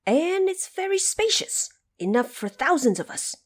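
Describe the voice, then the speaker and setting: A woman's voice: very high voice, very animated, unhurried, voice pushed up, exaggerated voice. A woman voicing a bold, cheerful male monkey leader in an audio drama storybook, exclaiming eagerly and repeating phrases. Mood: delighted.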